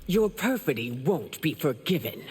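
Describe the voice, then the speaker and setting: A woman playing a regal, stern empress in a video game, speaking formally and with measured command. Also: deep voice, big pitch swings. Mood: stern, wrathful. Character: regal, stern warrior empress, compassionate but wrathful. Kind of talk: dramatic video game acting. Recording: long reverb, faint background noise.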